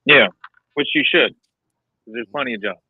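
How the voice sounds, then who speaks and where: A man's voice: medium pitch, moderate pace, vocal fry, husky, dry recording. A man chatting casually as a panellist on a podcast. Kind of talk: casual chat. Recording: dull, lo-fi dry sound.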